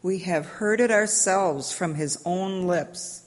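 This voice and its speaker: A woman's voice: lowish voice, slow. A woman playing a stern, accusing council elder in a dramatic reading of scripture. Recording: echoey church hall, long reverb.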